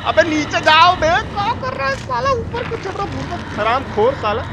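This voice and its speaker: A man with an eastern Indian Hindi accent giving casual, excitable, slangy gameplay commentary as a live-streamer over game sound. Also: extremely high pitch, normal speed, vocal fry. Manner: excitable, crude slangy exclamations. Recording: noisy game sound behind voice. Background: horror game sound.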